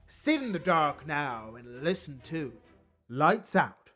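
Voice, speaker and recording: A man's voice: on the high side, very animated, slow, loud music behind the voice. A man as announcer, reading a scripted old-time radio introduction in a formal, ominous manner.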